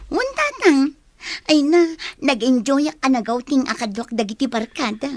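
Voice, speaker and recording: A woman's voice: high voice, expressive, moderate pace, a little hiss or hum. A woman playing a defiant, whiny young daughter who resents scolding in a radio drama. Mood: sulky. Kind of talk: radio drama acting in Ilocano.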